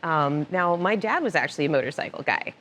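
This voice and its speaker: A woman's voice: sits low, brisk, a little hiss or hum. A woman guest on a casual talk show, telling personal stories with frequent laughter. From born in Italy, raised moving around. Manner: laughs often, animated anecdotes. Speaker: podcast guest, motorcycle finance director. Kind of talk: casual storytelling interview. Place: cigar club lounge.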